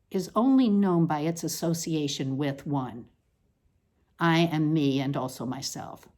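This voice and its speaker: A woman giving a deliberate, emphatic, declamatory reading of a scripted literary monologue, with measured phrasing. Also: lowish voice, darkish tone. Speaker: reader of a literary essay.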